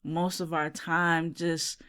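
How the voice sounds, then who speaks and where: A podcast co-host who grew up in Jamaica, chatting casually in a lively way with slang, with no accent. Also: medium pitch, slow, clear, resonant tone. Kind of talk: casual chat.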